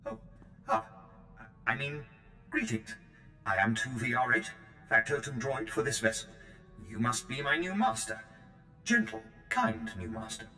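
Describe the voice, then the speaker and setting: An actor playing a nervously eager servant droid in a video game, prim, formal and obsequiously polite. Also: male voice, on the low side, measured pace, pauses often, bright, forceful tone, full character voice. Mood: nervous, ingratiating. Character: fussy, servile, nervously eager male protocol droid servant. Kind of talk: scripted game character acting. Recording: roomy echo, long reverb, faint music.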